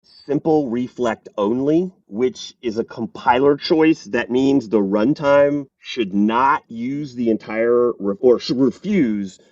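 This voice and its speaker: A man as a guest on a tech podcast, talking in an animated, emphatic way. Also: mid-range voice, takes it slowly, hardly any pauses, no room sound, far from the mic, highs cut off. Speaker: podcast guest, software developer. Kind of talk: casual interview chat.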